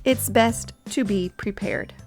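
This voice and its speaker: A woman storyteller narrating a children's picture book in an expressive, deliberate way. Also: medium pitch, soft background music.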